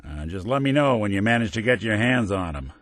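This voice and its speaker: An actor voicing a weary, wry android detective for a video game, in a hard-boiled noir manner with old-fashioned slang and dry sarcasm. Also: male voice, fairly low pitch, animated, fairly quick, a bit bright, no echo. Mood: grim, determined. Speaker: companion character in a video game.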